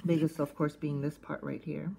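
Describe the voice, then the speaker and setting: A woman giving casual, unscripted hands-on review commentary in a halting, conversational way, thinking aloud. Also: low-pitched, level tone, creaky, warm tone. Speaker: host of a cassette collecting video channel. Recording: faint background noise, casual tablet recording.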